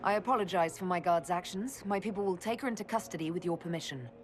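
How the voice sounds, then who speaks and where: An actress playing a calm, direct, authoritative heroine leader in a video game. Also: female voice, fairly low pitch, quick pace, slight background noise, close to an everyday voice. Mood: calm, resolute. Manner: direct, controlled.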